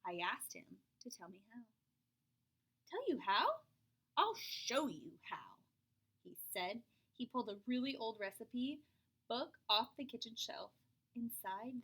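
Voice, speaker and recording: A woman reading a children's picture book aloud, expressive, with dramatic whispers and sudden jumps in pitch. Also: low-quality recording.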